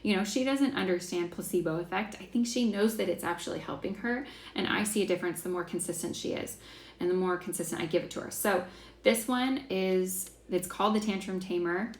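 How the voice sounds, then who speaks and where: A woman's voice: mid-range voice, fairly quick. A young woman hosting a casual class, talking in a chatty, enthusiastic way with lots of fillers. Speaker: essential oils class host. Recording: echoey room, faint background hiss.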